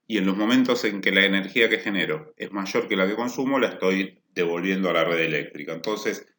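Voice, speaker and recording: A man's voice: low-pitched, fairly quick, fairly bright tone. A man giving a technical workshop lecture, explaining step by step and often rephrasing his points to check the audience follows. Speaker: energy efficiency lecturer. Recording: echoey room.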